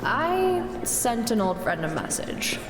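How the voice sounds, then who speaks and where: An actress playing a sly, devious female adventurer in an audio drama, hushed and playful. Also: fairly high pitch, sing-song, slow, background noise. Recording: echoey hall reverb under music.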